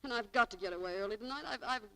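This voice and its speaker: An actress playing a spirited, wheedling young shopgirl, quick to take offence, in 1940s radio style. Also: on the high side, fairly bright tone. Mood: upset, pleading. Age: young adult. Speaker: radio actress in a romantic comedy. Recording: vintage 1940s radio broadcast.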